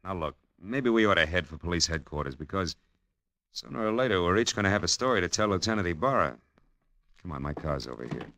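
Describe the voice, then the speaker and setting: An actor playing a terse, world-weary private detective in 1940s radio drama style. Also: male voice, sits low, expressive, quick pace, bright, forceful tone, heavily acted. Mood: urgent. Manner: terse, world-weary tough-guy narration. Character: hard-boiled male private detective narrating his case. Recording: old-time radio recording with music.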